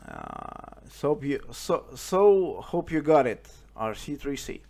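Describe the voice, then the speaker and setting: A man's voice: mid-range voice, wildly swooping pitch, slow, gravelly, darkish tone. A man from Russia with a Russian accent, chatting over amateur radio in hesitant English as he searches for words.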